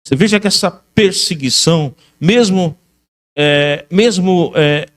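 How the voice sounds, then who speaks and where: A man's voice: fairly high pitch, a little slow. A man with a Brazilian Portuguese accent giving a history lecture as a religious teacher, alternating reading aloud with commentary and emphatically repeating key phrases.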